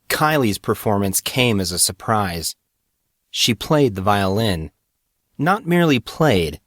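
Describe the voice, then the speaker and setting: A man's voice: medium pitch, a bit bright, dry recording, studio quality. A man reading a scripted story as an audiobook narrator. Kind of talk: scripted fiction narration with acted dialogue.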